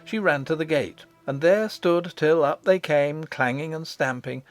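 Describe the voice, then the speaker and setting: A man narrating a children's fantasy audiobook in an expressive, scripted storybook style. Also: a little high, measured pace. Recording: voice over prominent background music. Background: music.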